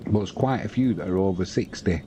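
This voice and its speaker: Low, mellow-voiced British man in his fifties. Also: slight background noise. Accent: British English. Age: 50s. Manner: slow, unhurried, chatty, reads scores aloud. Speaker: football commentator and host. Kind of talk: relaxed live-stream football commentary and chat.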